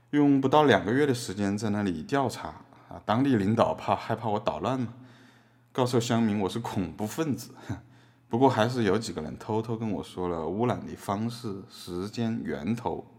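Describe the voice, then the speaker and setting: A man acting as a defiant activist artist defending himself in a podcast courtroom drama. His voice is on the low side, and he talks in a wry, conversational way, throwing out rhetorical questions. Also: a little mellow, close to an everyday voice.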